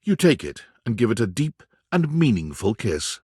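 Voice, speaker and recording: A man reading scripted second-person game narration in a theatrical, wry storytelling manner with dramatic pauses. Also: fairly low pitch, sing-song, darkish tone, husky, no room sound, clean studio sound.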